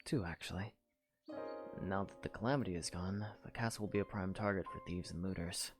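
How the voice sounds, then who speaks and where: A man voicing a young male hero in a fan audio drama, earnest and protective, speaking gently and with care. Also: low voice, faint music behind. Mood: practical. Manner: gentle, caring, measured. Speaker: voice actor.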